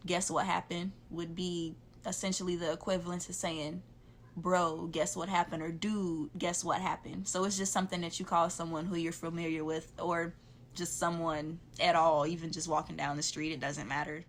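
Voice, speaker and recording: A woman's voice: on the low side, level tone, normal speed, slight background noise. Chatty young woman with a Chicago accent. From south side of Chicago, USA. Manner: chatty, explains slang with examples. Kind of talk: casual vlog explanation.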